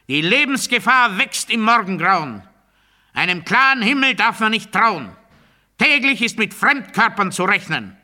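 An actor playing an obedience-obsessed man delivering absurd totalitarian doctrine in a deliberate, declamatory radio play monologue. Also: high-pitched, exaggerated voice. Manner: deliberate, declamatory, swinging from hushed confiding to strident proclamation.

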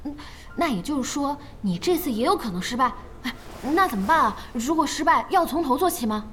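Casting: young female gamer, audio drama, high and animated, earnest, supportive, music behind. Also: fairly quick, slight background noise. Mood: worried, curious. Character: young woman, earnest supportive gaming guild partner. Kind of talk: Chinese audio drama acting.

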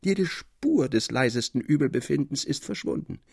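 A man playing a cheerful recovering invalid who tells stories in an expressive, articulate, theatrical way for a literary radio drama. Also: medium pitch, moderate pace, gravelly, slightly rough, no room sound, clean studio sound. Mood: elated. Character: Theodor, cheerful man recovering from illness, enthusiastic storyteller. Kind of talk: literary radio reading with acted dialogue.

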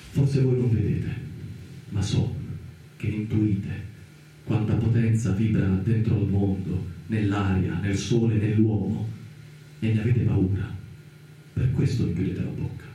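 A man performs a condemned, idealist scientist in a radio play, defiant yet compassionate. His low, very dark male voice is grave and measured, wrapped in heavy echo. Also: lightly acted. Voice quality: dark, low, soft-edged. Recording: very echoey, distant, faint background noise.

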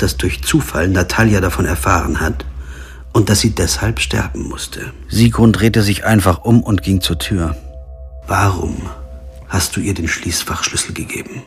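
An actor playing a sober, insistent lawyer who investigates and narrates in a German radio crime drama. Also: male voice, low voice, slightly rough. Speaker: narrator and lead character.

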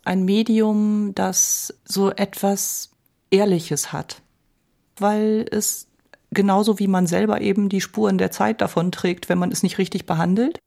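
Creaky, mellow-voiced woman. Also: mid-range voice, little pitch movement, measured pace. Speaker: interviewee, book author. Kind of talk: reflective interview talk.

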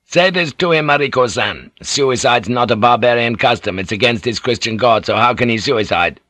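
A man's voice: mid-range voice, moderate pace, pushed and bright, dry recording. A man voicing a shrewd, commanding Japanese feudal warlord who speaks in an authoritative, probing way in an audiobook.

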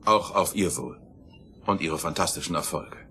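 An actor playing an earnest, admiring space expedition scientist in an audio drama, with a polite manner. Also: male voice, deep voice, moderate pace, pushed and bright, a little hiss or hum. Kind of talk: dramatic audio play acting. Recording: echoey hall with music behind.

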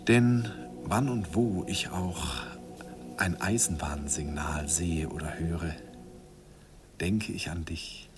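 An actor playing a wistful man who narrates a remembered love in a tender, sentimental 1950s radio drama style. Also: sits low. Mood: tender longing. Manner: sentimental, slow, deliberate, tender. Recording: 1950s radio recording, faint noise.

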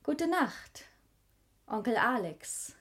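An actress playing a sweet, attentive young niece with a polite, coaxing way, in a German radio drama. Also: female voice, on the high side, very animated, drawn-out words, a bit bright, a little hiss or hum, exaggerated voice. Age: young adult.

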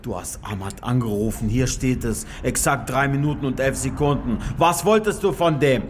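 An actor playing a menacing, sneering interrogator in a German radio crime drama, taunting and commanding. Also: male voice, medium pitch, animated, normal speed, noisy background, close to an everyday voice.

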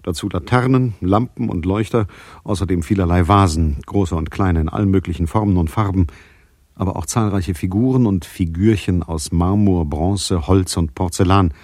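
A world-weary private eye, speaks in a low voice, close and without room sound. He is laconic and sardonic, in classic radio-play crime style. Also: normal speed, darkish tone, no room sound. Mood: dry, sardonic. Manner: dry, sardonic. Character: laconic, world-weary male private detective narrating his case. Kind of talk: radio drama acting and first-person narration.

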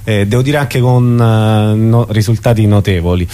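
A man's voice: on the low side, moderate pace, dark tone, clean tone. A man interviewing in a conversational way, hesitantly searching for his words. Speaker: radio interviewer.

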